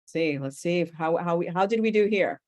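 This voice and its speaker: A woman's voice: lowish voice, a little fast, darkish tone. A woman presenting a training session over a video call, chatty and upbeat with frequent fillers. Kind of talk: casual webinar presentation.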